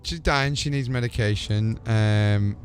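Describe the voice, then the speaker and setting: A man's voice: lowish voice, unhurried, faint music behind. A man livestreaming a video game with casual, chatty commentary, explaining things to viewers.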